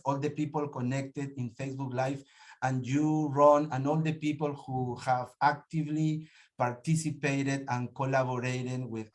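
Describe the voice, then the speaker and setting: A man from Peru with a Peruvian accent, hosting an online teachers' meeting with warm, slightly formal thanks. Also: medium pitch. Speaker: meeting host, English teacher. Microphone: video call.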